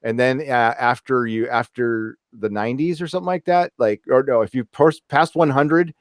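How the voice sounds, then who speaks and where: A man's voice: mid-range voice, normal speed, no echo, clean studio sound. A man in his forties hosting a podcast, chatting casually. He laughs often and swears freely. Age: 40s. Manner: laughs often, loose and profane. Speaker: podcast host. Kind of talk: casual chat.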